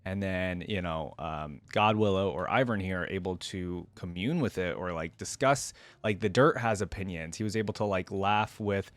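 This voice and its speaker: A man's voice: sits low, moderate pace, hardly any pauses, no echo. A man hosting a reaction video, reading a story aloud expressively and adding casual commentary.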